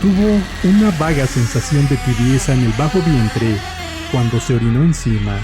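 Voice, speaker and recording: A man's voice: medium pitch, expressive, moderate pace. A man narrating a scripted horror story as the storyteller. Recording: faint music behind the voice.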